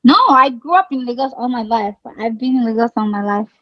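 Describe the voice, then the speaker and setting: A Nigerian woman in her thirties, a singer chatting casually in an interview, relaxed and quick to laugh, her sentences trailing off softly. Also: fairly high pitch, dry recording, highs cut off.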